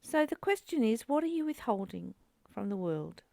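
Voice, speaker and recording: A woman reading aloud and explaining a spiritual text as the host of a study group, in a deliberate, informal teaching way. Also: on the high side, very animated, vocal fry, warm tone, no room sound, clean studio sound.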